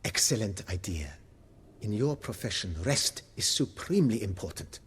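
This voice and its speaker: A man playing a deferential, fussy butler in a video game, with a breathy, husky voice and formal, florid, obsequious speech. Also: lowish voice, sing-song, moderate pace, long reverb tail, slight background noise. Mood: eager, deferential. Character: deferential, fussy, eloquent male butler of an estate.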